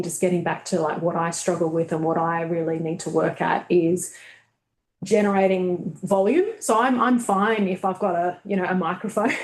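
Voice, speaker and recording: A woman's voice: medium pitch, darkish tone, echoey room, rough recording. A woman, a guest on a webinar, talking through presentation tips in a thoughtful, searching way over a video call. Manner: thoughtful, many fillers and restarts. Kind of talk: casual webinar conversation.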